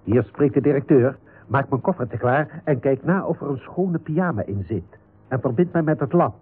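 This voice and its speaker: An actor playing a curt, scheming company director in a radio comedy, giving clipped orders in a husky voice. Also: male voice, medium pitch, lightly acted. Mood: bossy, brisk. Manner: clipped, bossy, brisk orders. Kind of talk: radio comedy serial acting. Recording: tinny narrow-band radio recording.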